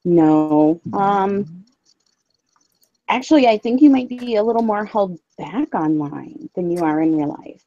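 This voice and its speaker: Muffled, mellow Midwestern woman chatting candidly. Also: mid-range voice, animated, unhurried, no room sound. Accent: Upper Midwest American. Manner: candid, sweary. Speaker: co-host of a livestream. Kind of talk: casual chat.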